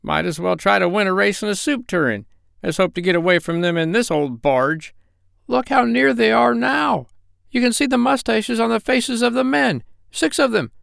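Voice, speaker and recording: A man's voice: high-pitched, lively intonation, normal speed, creaky, a bit bright, no room sound, clean studio sound, voice pushed up, heavily acted. A man voicing a fretful, complaining pig in a children's storybook. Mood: complaining, panicky. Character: grumbling, fretful male pig.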